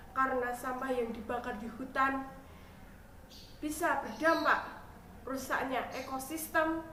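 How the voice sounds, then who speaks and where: A young adult woman reading a fairy tale aloud as a storyteller, in expressive, deliberate scripted narration. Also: high voice. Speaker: storyteller, university student. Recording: echoey room with faint noise.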